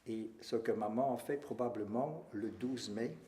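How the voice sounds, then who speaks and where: Elderly man, mellow and echoey. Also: fairly low pitch, a little hiss or hum. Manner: measured, earnest storytelling. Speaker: witness. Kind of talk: personal testimony. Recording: echoey room.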